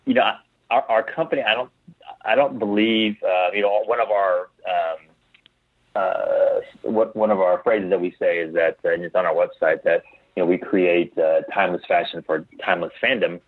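A man's voice: low-pitched, fairly even intonation, normal speed, no echo, narrow, tinny audio. A man giving casual interview answers as a company founder, thinking aloud and often repeating his words as he goes. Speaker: guest, clothing company founder.

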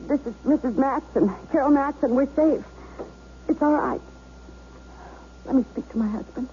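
An actress playing a frightened married woman held hostage, pleading haltingly in a 1970s radio drama. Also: high voice, natural voice. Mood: anxious. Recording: muffled, noisy old radio broadcast.

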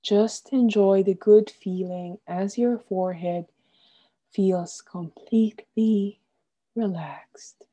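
Female meditation guide, extremely soft dark tone, unhurried delivery, soothing and gentle, phrases trailing off softly. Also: mid-range voice, steady tone, lo-fi sound. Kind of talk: guided relaxation meditation, scripted instruction.

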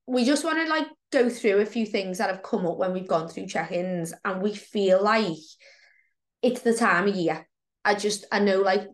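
Woman, croaky from a cold, casual podcast talk, frank and chatty, fitness coach. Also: medium pitch.

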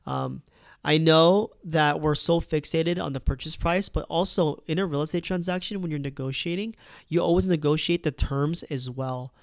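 Man explaining, slightly high, gravelly. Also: moderate pace, no echo, clean studio sound. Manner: conversational, peppers with "right?" and "okay?". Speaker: real estate agent. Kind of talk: casual explainer video.

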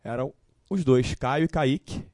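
A man in his early twenties with a Carioca Portuguese accent, telling his story as a guest in a casual live-stream chat, relaxed and full of fillers. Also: mid-range voice, a little slow, no echo, studio quality. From Rio de Janeiro, Brazil. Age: 20s. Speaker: guest musician.

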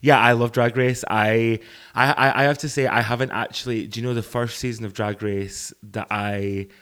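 A man with a croaky voice, a drag performer and producer, chatting casually on a podcast with frequent ums. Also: medium pitch, steady tone, normal speed, a bit bright.

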